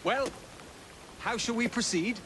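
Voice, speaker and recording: An actor playing a courteous, kindly elderly Victorian scientist in a video game, speaking deliberately. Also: male voice, very high-pitched, a bit bright, a little hiss or hum. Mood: courteous, reflective. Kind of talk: video game cutscene acting.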